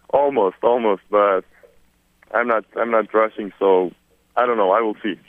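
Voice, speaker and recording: A man's voice: lowish voice, fairly even intonation, dry recording. Serbian young man on a tinny phone line. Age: 20s. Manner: halting, many repeated words. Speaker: drafted basketball player. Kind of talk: phone press conference answers.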